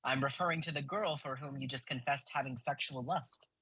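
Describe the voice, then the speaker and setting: A man's voice: medium pitch, brisk, bright, forceful tone, slightly rough, poor audio. Man playing a formal, preachy fatherly priest. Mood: stern. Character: earnest fatherly priest counseling a young confessor. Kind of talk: audio drama acting.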